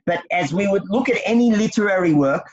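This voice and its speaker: A man's voice: high-pitched, expressive, fairly quick, a little mellow. Man teaching a text class, dull sound. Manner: explains step by step, quizzing the class. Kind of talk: text study lesson. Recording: dull lo-fi recording.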